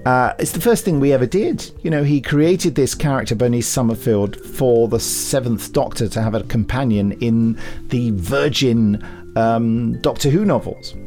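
A man's voice: medium pitch, moderate pace, mellow, soft background music. A British man co-hosting a podcast in a chatty way, enthusiastic and given to wry, teasing asides. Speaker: podcast co-host.

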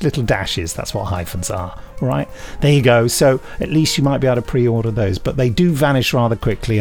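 A British man with a gravelly voice and a dry, wry manner, chatting casually as a podcast co-host. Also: mid-range voice, a little mellow.

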